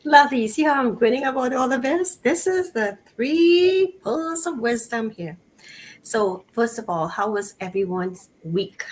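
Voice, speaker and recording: A woman's voice: a little high, lively intonation, a little slow, a little hiss or hum, rough recording. A woman hosting an online talk show, bubbly and enthusiastic, laughing between her lines. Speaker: show host.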